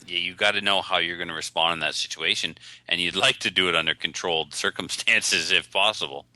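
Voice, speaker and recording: Deep, very bright man. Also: a little fast, dry recording. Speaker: podcast co-host. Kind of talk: casual chat.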